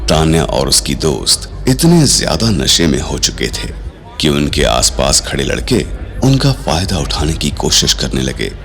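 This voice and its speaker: A man's voice: a bass voice, big pitch swings, a little slow, vocal fry, fairly bright tone, soft background music. A man narrating a scripted audio drama in a dramatic storytelling style.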